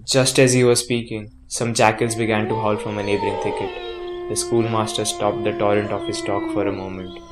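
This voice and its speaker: A man's voice: on the low side, level tone, fairly quick, lightly acted. A man playing a grave storyteller narrating an eerie night tale in a radio drama. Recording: background music under narration.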